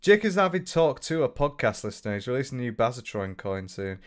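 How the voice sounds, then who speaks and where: A man's voice: mid-range voice, big pitch swings, a little fast, smooth. A young man with a northern English accent chatting casually on a livestream, reading out viewer comments with lots of restarts and the odd swear. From north of England. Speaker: streamer.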